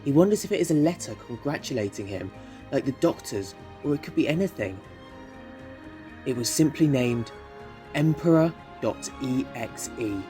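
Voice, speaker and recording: Narrator for audio drama: man, mid-pitched voice, scripted read over a musical bed. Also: animated, normal speed, mellow. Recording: music under the voice.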